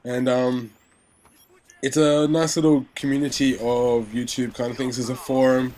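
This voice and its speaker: A man's voice: medium pitch, little pitch movement, measured pace, darkish tone, slight background noise. A young man giving casual gaming commentary, rambling with many fillers and restarts, his nose still stuffy and his voice a little sore from illness.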